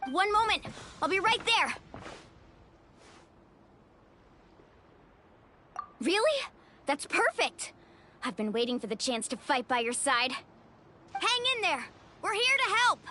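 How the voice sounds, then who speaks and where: A woman's voice: very high voice, sing-song, normal speed, bright, forceful tone, a little hiss or hum, full character voice. A woman voicing a brave, friendly young female warrior in a video game, upbeat and decisive. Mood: spirited.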